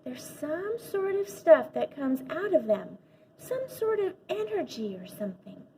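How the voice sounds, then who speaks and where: A woman's voice: high-pitched, very animated, measured pace, dark tone, voice pushed up, exaggerated voice. A woman reading a children's book, voicing an imaginative schoolkid who earnestly and mysteriously bluffs through a class speech. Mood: hushed, mysterious. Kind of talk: children's storybook read-aloud. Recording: dull lo-fi audio with faint noise.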